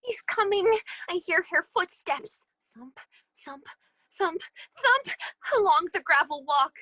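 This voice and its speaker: Squeaky, excitable little flower. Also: female voice, slow, no room sound, exaggerated voice. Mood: excited. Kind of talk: children's readers theater read-aloud.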